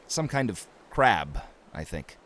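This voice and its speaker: An actor playing a tired, desperate, stubborn castaway in a fantasy audio drama, halting and guarded in his speech. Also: fairly low pitch, sing-song, a bit bright, a little hiss or hum, full character voice. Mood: weary, dismissive.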